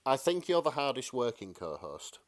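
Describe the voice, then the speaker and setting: A man with a mid-range, very animated voice, recorded dry. He chats and jokes casually as a podcast co-host. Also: medium pitch, moderate pace, no echo. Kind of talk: casual joking chat.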